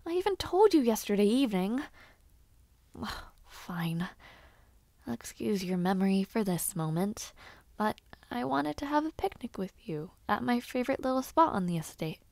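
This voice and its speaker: An eager young noblewoman with knightly ambitions, acted by a woman for an intimate audio roleplay. Her voice sits at a medium pitch in a very dry recording. She speaks in a formal, old-fashioned way, playfully bossy, with small hesitations and sighs. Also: big pitch swings, normal speed, creaky, darkish tone, no room sound.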